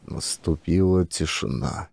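Deep-voiced man narrating a story. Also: measured pace, vocal fry, darkish tone, faint music behind. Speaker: audiobook narrator, actor. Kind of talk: scripted satirical story narration.

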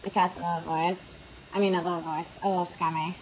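A woman's voice: on the low side, little pitch movement, quick pace, a loud crowd. A young woman joking casually into a computer microphone in a living room, with noisy webcam audio. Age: young adult. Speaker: vlogger. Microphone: built-in computer microphone.

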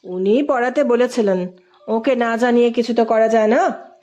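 A courteous man who teaches at a college , acted out by a woman storyteller. He talks mildly and with mock innocence, gently teasing his listener in a storybook romance. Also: fairly high pitch, steady tone, normal speed, natural voice. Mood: feigned concern. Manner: mild, feigned innocence, teasing. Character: polite, teasing male Sanskrit and Bengali college professor.

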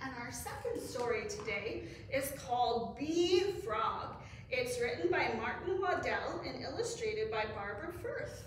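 A woman's voice: fairly high pitch, lively intonation, a little slow. Woman reading to kids in an echoey room. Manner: cheerful sing-song, leads counting and hand motions. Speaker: children's librarian. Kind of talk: story time reading and action songs for kids. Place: library story room with screen. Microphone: far camera. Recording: echoey room from far off, faint noise.

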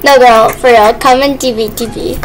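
A woman chatting casually and improvising role-play while gaming, excitable and bossy. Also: a little high, moderate pace. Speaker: gaming video player and role-play partner. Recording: noisy game-play voice recording.

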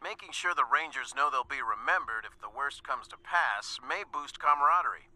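An actor playing a friendly, businesslike military radio officer in a video game, with clipped radio phrasing. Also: male voice, mid-range voice, animated, normal speed, sharp tone, slight background noise. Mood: upbeat, official. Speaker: radio dispatcher.

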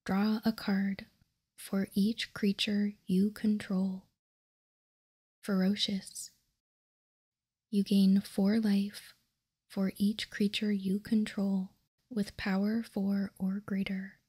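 A woman doing whispered ASMR in a soft, breathy, unvoiced whisper, reading out card text calmly and deliberately, close to the microphone. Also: medium pitch, no room sound. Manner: slow, deliberate, calm reading. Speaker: ASMR video host. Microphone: close microphone, dry sound.

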